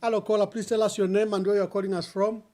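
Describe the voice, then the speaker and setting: A man's voice: high voice, flat delivery, fast, a little mellow. A man hosting a live call-in show, briefly welcoming and prompting callers. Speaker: host.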